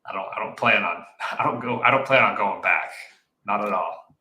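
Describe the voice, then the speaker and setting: A man in his thirties with a very low, sharply bright voice talks things through as a guest. He is measured and reflective and often restarts his sentences. His voice rings in an echoey room. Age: 30s. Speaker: podcast guest. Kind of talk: casual conversation.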